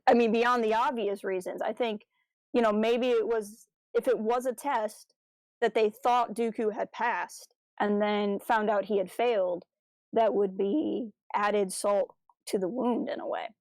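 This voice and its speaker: A woman in a casual podcast discussion, speaking at a middle pitch. She talks in a discursive, self-deprecating way as a co-host. The sound is dry, with very little room in it. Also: fairly even intonation, unhurried, a little mellow, no room sound.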